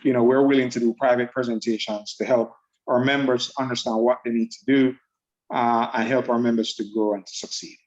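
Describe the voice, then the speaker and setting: A man pitches warmly and persuasively, often doubling up his words as he explains things. His voice sits at an ordinary middle pitch. It comes through a dull, muffled video call line. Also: little pitch movement, brisk. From Jamaica. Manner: upbeat, persuasive, repeats words. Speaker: trading program presenter.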